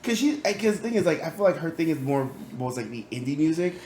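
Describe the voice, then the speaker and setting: A man co-hosting a podcast in casual chat, animated and quick to laugh, his voice rising when he teases. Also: on the high side, a little hiss or hum. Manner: animated, laughs often, raises voice when teasing. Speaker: podcast co-host. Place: studio.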